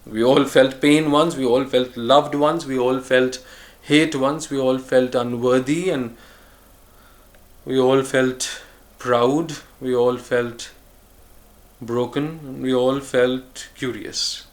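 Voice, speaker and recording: A man vlogging a reflective solo monologue, musing and earnest, with frequent pauses and restarts. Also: medium pitch. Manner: earnest, speaks of choosing calm over harshness.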